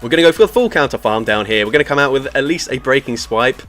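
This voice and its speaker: A man giving energetic shoutcaster-style commentary on a live-streamed game, laughing often as he talks. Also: fast. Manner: energetic shoutcaster delivery, laughs often, lively pitch jumps. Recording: music playing faintly behind.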